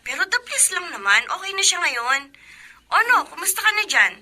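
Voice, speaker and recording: Very bright, high-voiced protective Filipina friend. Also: female voice, big pitch swings, normal speed, heavily acted. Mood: concerned. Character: cautious, teasing young female student, protective best friend. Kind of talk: Filipino radio drama acting.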